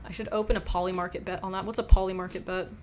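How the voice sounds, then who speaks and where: A young woman with a quiet voice hosting a livestream, reading chat and chatting frankly in a rambling way, with lots of "like". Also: lowish voice, fairly even intonation, racing, slight background noise. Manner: rambling, frank, laughs often, says "like" a lot. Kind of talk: casual chat.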